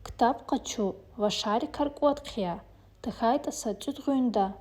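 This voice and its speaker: Woman playing a high-voiced cartoon mother. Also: unhurried, dark tone, breathy edge. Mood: directing. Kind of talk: animated cartoon dub.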